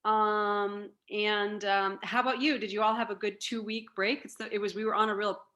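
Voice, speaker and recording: Chatty woman in her forties, bright. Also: mid-range voice, little pitch movement, moderate pace, muffled recording. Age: 40s. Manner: chatty, rambling, with many fillers. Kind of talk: casual video-call chat.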